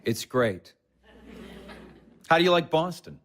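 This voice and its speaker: An actor in an audio play as an earnest, mild young husband who speaks gently and a little hesitantly. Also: male voice, fairly high pitch, very animated, takes it slowly, heavily acted.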